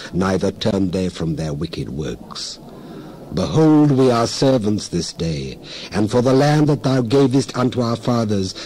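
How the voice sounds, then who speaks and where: A man reading scripture aloud as a dramatized narration, in solemn, measured phrases. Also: fairly low pitch, a little mellow, slight background noise.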